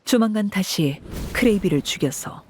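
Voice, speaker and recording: A woman voicing a stern, cold woman who runs an orphan house as its "Father", speaking in a cool, measured, commanding way. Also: medium pitch, lively intonation, a little hiss or hum, close to an everyday voice. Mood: explaining. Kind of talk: game voice acting.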